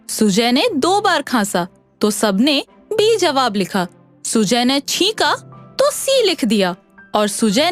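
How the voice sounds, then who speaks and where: A woman reading scripted narration for an animated children's story. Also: sits high.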